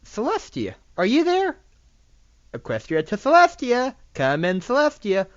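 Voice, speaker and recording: An excitable young pony princess, as an amateur reader puts her on, pleading and enthusiastic in a storybook reading. The pitch swings widely, and the sound is dry and a little dull. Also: measured pace, dry recording. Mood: eager, calling. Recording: dull lo-fi microphone recording.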